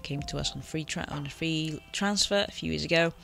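A man's voice: on the high side, brisk, creaky, bright, forceful tone. A man with a cold, chatting casually through a football management game run with many fillers and self-corrections. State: has a cold. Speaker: game channel host. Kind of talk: casual gameplay commentary. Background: faint background music.

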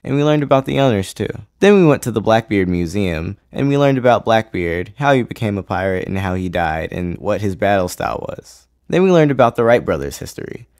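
A man's voice: fairly low pitch, expressive, brisk, darkish tone, no room sound, studio quality. A teenage boy, a student, giving casual answers in an interview. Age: teens.

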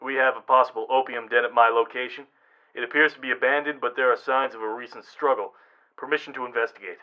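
A man's voice: medium pitch, steady tone, fast, lo-fi sound. A man playing a wary soldier reporting over a military radio in an audio drama. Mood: wary, businesslike. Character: wary Canadian soldier exploring a cave by radio. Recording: faint radio static and noise.

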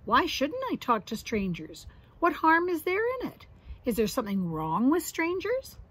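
A woman's voice: sits high, big pitch swings, normal speed, a bit bright, husky, voice pushed up, full character voice. A woman voicing a friendly, curious little girl bear cub in a storybook, with eager greetings and questions.

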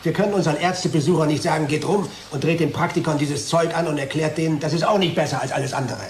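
A man's voice: on the high side, fast, natural voice. An actor playing a sarcastic, indignant male pharmaceutical sales manager in a radio play. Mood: exasperated. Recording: echoey room with background noise.

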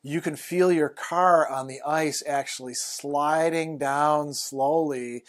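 A middle-aged man telling a personal story to camera, conversational and animated, with many fillers, recorded on a lapel mic in a small room. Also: on the high side, slow. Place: small room in front of a plain wall.

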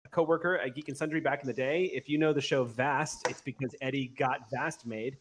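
A man's voice: medium pitch, steady tone, brisk, background noise. A man in his thirties casually hosting a tabletop roleplay livestream as game master. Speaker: game master and stream host. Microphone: desk mic on video call.

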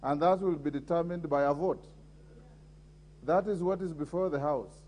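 A man's voice: on the high side, moderate pace, darkish tone, slight background noise. A middle-aged Kenyan man chairing a parliamentary debate as speaker, firmly calling members to order. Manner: firm, calling order repeatedly. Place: large parliament chamber. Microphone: desk microphone.

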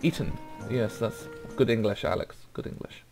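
A man's voice: on the low side, normal speed, vocal fry, darkish tone, soft background music. A young Welsh man giving rambling, laid-back let's play commentary, trailing off with ums as he goes through menus. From Wales. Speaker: gaming YouTuber.

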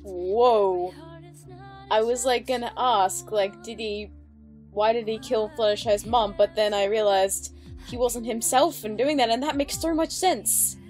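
A woman with a fairly high voice gives casual reaction commentary. She talks as the host of her own video, with music playing behind her. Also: a little slow, darkish tone. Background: background music.

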